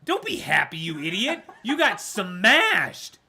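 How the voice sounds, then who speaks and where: A man doing casual live play-by-play of a football game, excitable, his voice raspy from screaming. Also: high voice, takes it slowly. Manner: excitable, shouts at big plays. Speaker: gaming streamer doing play-by-play. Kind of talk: casual live sports commentary.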